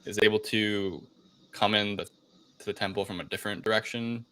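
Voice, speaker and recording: Bright-voiced, low-pitched man chatting. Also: steady tone, normal speed, smooth, rough recording. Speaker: podcast co-host. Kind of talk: casual film review chat.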